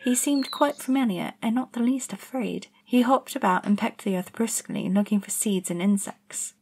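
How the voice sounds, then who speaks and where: Woman playing a lonely, curious girl narrating an audio drama, breathy, slightly high, hushed. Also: moderate pace, rough recording. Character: lonely, curious young girl exploring gardens. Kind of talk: first-person storybook narration.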